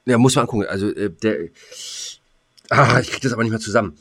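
A man co-hosting a podcast in casual banter, often pausing to search for his words. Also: lowish voice, vocal fry, fairly bright tone, breathy edge. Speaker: podcast co-host.

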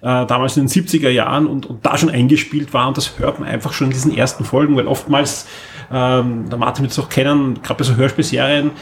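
An Austrian-accented man hosts a podcast in a casual, enthusiastic, rambling way. His voice sits at a middle pitch, with faint noise in the background. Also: fairly even intonation, a little fast. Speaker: podcast host.

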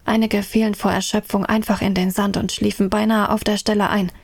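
A woman narrating a radio drama in a measured, descriptive manner. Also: mid-range voice, flat delivery, creaky, dry recording. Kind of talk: scripted third-person narration. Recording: dry close studio sound.

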